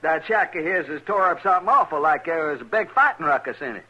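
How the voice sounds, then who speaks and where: An actor playing a fretful, pompous cattle drover with a rural Western American accent in an old-time radio drama. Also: male voice, a little high, animated, fast talker, sharp tone, heavily acted. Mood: aggrieved, worried. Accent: rural American West. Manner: blustering, pompous. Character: fretful, indignant, long-winded male cattle drover. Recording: dull vintage radio recording.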